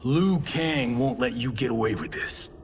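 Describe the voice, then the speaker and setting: An actor playing a brash, flippant movie star turned fighter in a video game, slangy. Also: male voice, mid-range voice, measured pace, slight background noise, natural voice. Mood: wary. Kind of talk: casual video game voice acting.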